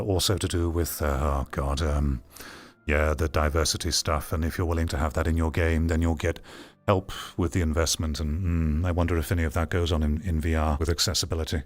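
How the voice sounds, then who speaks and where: A man giving rambling, opinionated livestream commentary as he reads and answers chat. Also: sits low. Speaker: streamer.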